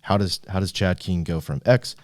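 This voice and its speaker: A man's voice: low voice, steady tone, moderate pace, no room sound, clean studio sound. A man hosting a podcast in a casual, motivational style, talking like an emphatic coach who swears freely. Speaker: podcast host and business coach.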